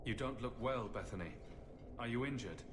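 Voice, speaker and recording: An actor playing a bold, wry, brotherly adventurer hero in a fantasy game. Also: male voice, medium pitch, normal speed, background noise, natural voice. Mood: concerned.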